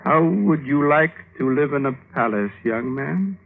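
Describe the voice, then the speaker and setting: Spry genial old man, slow courtly talk, old time radio drama, thin bandlimited sound. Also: a little high, expressive, creaky, heavily acted. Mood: genial, inviting. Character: spry, genial old man nearly seventy, inviting benefactor. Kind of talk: classic radio drama acting. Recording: narrow-band old radio recording.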